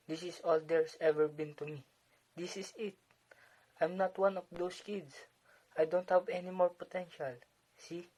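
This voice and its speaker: A man's voice: a little high, darkish tone, close to an everyday voice, poor audio. A man playing a weary, discontented husband in an amateur one-act play, frustrated and resigned.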